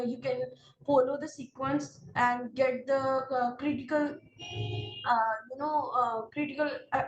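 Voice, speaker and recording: High-voiced Pakistani woman coaching in an echoey room. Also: a little hiss or hum. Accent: Pakistani English. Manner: earnest, direct advice in second person, many filler pauses. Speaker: exam prep instructor. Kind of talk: spoken advice to exam candidates. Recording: roomy, distant mic with faint ambience.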